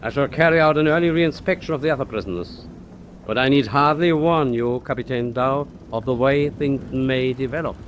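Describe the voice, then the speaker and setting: An actor playing a deferential ship's doctor in a wartime radio drama, speaking in a formal, measured, respectful way. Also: male voice, medium pitch, slight background noise, close to an everyday voice. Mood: formal, grave. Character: deferential, grave ship's doctor on German tanker.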